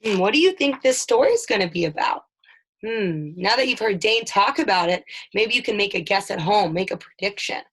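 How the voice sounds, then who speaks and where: A mother reading a children's picture book aloud, with sing-song storytelling and drawn-out sound words. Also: medium pitch, lively intonation, a bit bright, breathy edge, poor audio. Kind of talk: children's read-aloud with questions.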